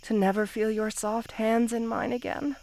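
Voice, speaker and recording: A woman's voice: fairly high pitch, level tone, a little mellow, natural voice. A woman playing a possessive, lovesick snake queen in an intimate roleplay ASMR, speaking tenderly with sighs. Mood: vulnerable, pleading. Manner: slow, tender, sighing, possessive delivery.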